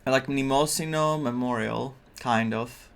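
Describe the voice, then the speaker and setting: Unhurried man commenting over a game. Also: mid-range voice, vocal fry. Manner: unhurried, reflective, searching for words. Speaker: gaming streamer. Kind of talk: casual playthrough commentary, reading some dialogue aloud.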